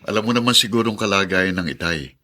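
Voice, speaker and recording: An actor playing a responsible eldest son and peacemaking brother in a radio drama, earnest and persuasive. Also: male voice, deep voice, quick pace, sharp tone. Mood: earnest, urging.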